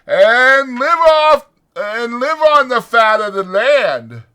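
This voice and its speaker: Man as anguished, tender ranch hand, pitched up. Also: sing-song, pushed and bright, clean tone, heavily acted. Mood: gentle, strained. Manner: halting, soothing, reluctant. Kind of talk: dramatized audiobook character voice.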